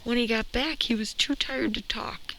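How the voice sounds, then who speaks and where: A woman reading a children's storybook aloud with expressive, deliberate, emphatic phrasing. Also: a little high, low-quality recording. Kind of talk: expressive read-aloud narration. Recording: noticeable background noise.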